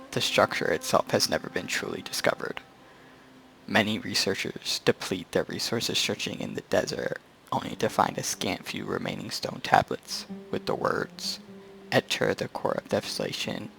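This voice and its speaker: A man reading game text aloud as a gaming video creator, a bit halting, with casual asides. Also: on the low side. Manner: halting read-aloud with stumbles. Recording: game music clearly behind. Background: video game music.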